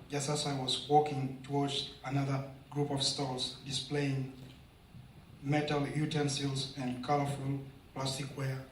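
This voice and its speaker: A man's voice: medium pitch, a little hiss or hum. A man in his forties from South Sudan, introducing himself hesitantly and then reading a short story aloud. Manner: flat, hesitant speech with many "uh"s. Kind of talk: public reading of prose. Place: indoor event room. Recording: roomy hall, distant mic.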